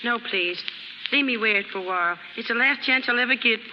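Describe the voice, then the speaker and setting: A woman's voice: fairly high pitch, a little fast, natural voice. An American actress in a 1930s radio drama playing a brash, uneducated, lovelorn young chorus girl who talks in ungrammatical slang. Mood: wistful. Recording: noisy 1930s radio broadcast, dull, reverberant.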